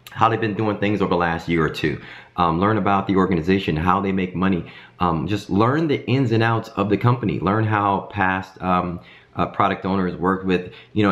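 Casting: man in his 30s, deep voice, slightly hoarse, talking casually to camera at home. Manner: animated hand gestures, frequent "um" and "okay?". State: hoarse from a cold. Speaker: design career vlogger. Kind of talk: casual advice talk to camera. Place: home kitchen, indoors.

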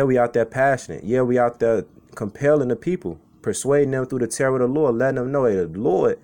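A man's voice: medium pitch, a little mellow. A man from Chicago giving an informal sermon as a street preacher, reading scripture and talking it over in a loose, conversational way, often tagging lines with "man" and "okay".

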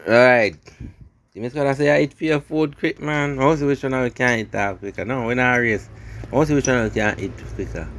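A man's voice: medium pitch, a little fast, a bit bright, slight background noise. A middle-aged man with a Jamaican accent chatting casually in patois for a home vlog, often repeating his phrases. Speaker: family vlogger. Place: outdoors on a wooden veranda at home. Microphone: handheld phone.

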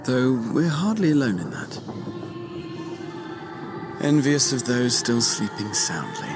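A man's voice: medium pitch, very animated, slow, over music. A man playing a wry, genial adventurer in a fantasy video game, courteous and conversational. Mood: wry, relaxed. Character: wry, genial adult male adventurer companion.

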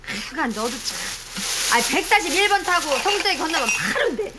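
High, chattering thrifty mother. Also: big pitch swings, a little fast, very noisy. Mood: fussing. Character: thrifty, chatty, fussing middle-aged mother. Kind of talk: sitcom acting.

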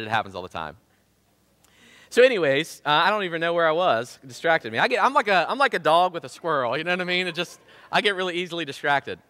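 A man in his thirties with a Southern Ohio accent, preaching an animated sermon from a church stage, full of rhetorical questions. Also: high voice. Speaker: pastor. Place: church stage in front of a drum kit. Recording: church hall reverb.

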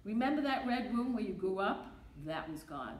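A woman's voice: mid-range voice, expressive, moderate pace. Older Brooklyn woman reading poetry. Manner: emphatic, rhythmic, repeating refrains. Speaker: poetry reader. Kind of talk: reading a poem aloud. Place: library meeting room. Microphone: podium mic from a far camera. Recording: echoey room with faint noise.

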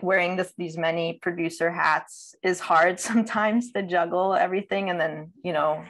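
A woman's voice: low voice, vocal fry, fairly bright tone. A woman in her thirties giving thoughtful, winding, self-correcting panel answers over a video call. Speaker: panelist, audio producer. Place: indoor room.

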